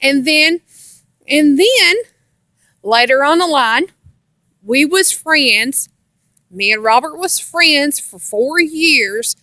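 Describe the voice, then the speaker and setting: High, slow-talking American woman. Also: bright, forceful tone, no echo. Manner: rambling, repetitive, giggly storytelling with nonstandard folksy grammar. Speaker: storytelling vlogger. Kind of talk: casual personal storytime.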